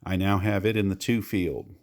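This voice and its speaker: A man's voice: sits low, steady tone, normal speed, a little mellow. An American man giving a casual how-to walkthrough of amateur radio gear, explaining with repeated reminders.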